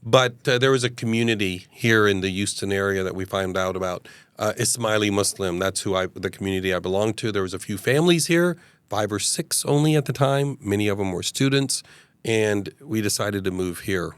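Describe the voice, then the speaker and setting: Smooth-voiced man in his fifties. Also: on the low side, brisk, no room sound. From Uganda. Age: 50s. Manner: earnest, expansive, hesitant fillers. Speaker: guest, mayoral candidate. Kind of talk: casual interview storytelling.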